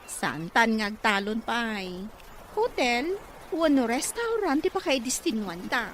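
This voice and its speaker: A woman's voice: a little high, expressive, unhurried, a bit bright, slight background noise. A woman acting an eager young graduate in an Ilocano drama, speaking with an Ilocano accent. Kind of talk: amateur Ilocano radio-style drama acting.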